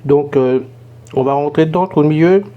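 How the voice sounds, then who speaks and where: A hoarse man casually explaining a gadget in a chatty, rambling tutorial. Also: on the high side, normal speed, phone-quality sound. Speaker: tech tutorial presenter.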